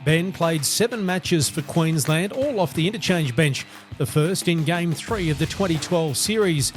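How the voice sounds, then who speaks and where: Higher-pitched male narrator over music. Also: expressive, moderate pace. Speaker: podcast host reading a guest introduction. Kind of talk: scripted narration. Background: music under the voice.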